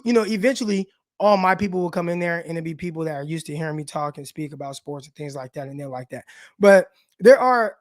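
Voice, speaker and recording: Sports stream host, male, raspy, slangy and direct, pitched high, close dry mic. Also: dry recording. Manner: loose, slangy, addresses viewers directly. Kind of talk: casual live-stream sports talk.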